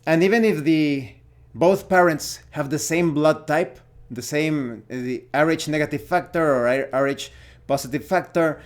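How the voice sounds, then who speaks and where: A man presenting an opinionated explainer as a video host on tabletop role-playing games, talking in deliberate phrases broken by pauses. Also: on the high side. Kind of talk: opinionated explainer talk to camera.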